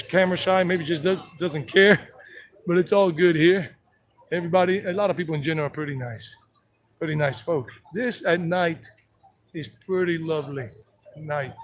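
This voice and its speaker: A man from South Carolina giving a chatty, rambling walking-tour commentary outdoors, joking with his companion and greeting passersby. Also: high-pitched. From South Carolina, United States. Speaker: tour guide vlogger. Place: outdoors on a town street and park.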